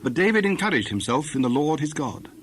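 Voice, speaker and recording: A man's voice: a little high, sing-song, brisk, fairly bright tone. Solemn male scripture narrator, noisy backdrop.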